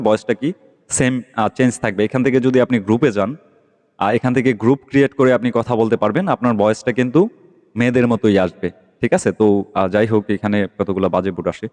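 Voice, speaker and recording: A man's voice: medium pitch, a little mellow. Man giving a tutorial in a reverberant room. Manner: repeatedly checks in with listeners while explaining step by step. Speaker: tech tutorial host. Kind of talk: casual screen-recorded app walkthrough. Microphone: phone microphone during screen recording. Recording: long echoing reverb.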